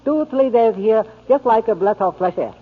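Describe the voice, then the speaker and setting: Muffled old radio drama, eager Chinese bait seller, very high voice, clipped broken English, Chinese accent. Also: male voice, lively intonation, normal speed, slightly rough. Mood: eager, bargaining. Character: eager Chinese bait barge owner, a father. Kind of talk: 1940s radio acting. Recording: muffled, hissy 1940s radio recording.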